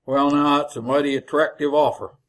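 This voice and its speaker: An actor playing a greedy, folksy wagon driver in an old-time radio western, talking in blunt rustic cowboy speech. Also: male voice, on the high side, flat delivery, a little fast, natural voice. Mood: folksy, agreeable. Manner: drawling rustic cowboy grammar, blunt, jovially callous.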